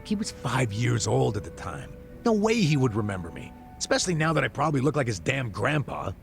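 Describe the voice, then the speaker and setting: Middle-aged male ex-cop bluffer, game drama; fry-laden voice, casual bluster, animated delivery. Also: medium pitch, a little fast, soft background music. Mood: casual, pleading. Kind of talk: video game cutscene dialogue.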